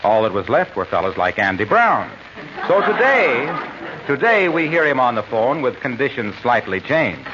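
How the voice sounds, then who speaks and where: A man's voice: medium pitch, sing-song, moderate pace, muffled recording. A man announcing in scripted radio narration, dramatic and storytelling. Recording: hissy old radio broadcast.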